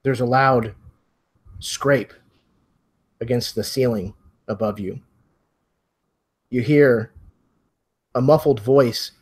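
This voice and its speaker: A man working as game master, narrating a tabletop mystery in a measured, atmospheric way. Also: mid-range voice, slightly rough.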